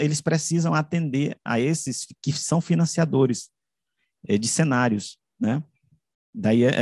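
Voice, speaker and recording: A man's voice: medium pitch, a little mellow, slightly rough, no echo. Brazilian man lecturing in a dry-sounding room. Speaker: academic presenter. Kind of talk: explanatory online lecture presentation with slides. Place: indoor room, online video call. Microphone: video call microphone.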